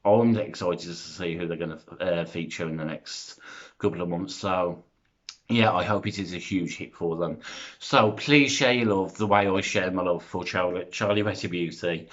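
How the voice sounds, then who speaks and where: A man in his thirties, chatty and self-deprecating, who rambles through a vlog with plenty of ums and uhs. His voice sits very low, and the recording sounds a bit dull and lo-fi. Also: fairly bright tone. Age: 30s. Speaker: YouTuber. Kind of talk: casual vlog chat.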